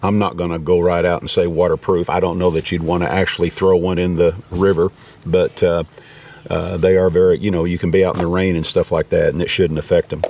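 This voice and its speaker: A man's voice: low voice, level tone, brisk, darkish tone, distant mic. An American man hosting a casual, unscripted gear review in a folksy, rambling way. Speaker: vlog host reviewing gear. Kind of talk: casual unscripted product talk. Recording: clear background noise.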